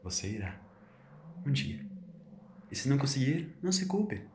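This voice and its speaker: A man voicing a kind young woman companion, earnest and encouraging, a little higher than his own voice. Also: sing-song, moderate pace, a little mellow, close to an everyday voice. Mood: reassuring. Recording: roomy echo, faint hiss.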